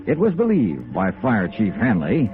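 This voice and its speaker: A man's voice: fairly low pitch, huge pitch swings, measured pace, music in the background. An American man acting a tough, persistent insurance investigator in a 1950s radio drama, with a clipped, insistent delivery. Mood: brisk, businesslike. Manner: clipped, insistent, hard-boiled. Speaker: actor in the lead, also narrating. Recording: tinny, noisy old radio recording.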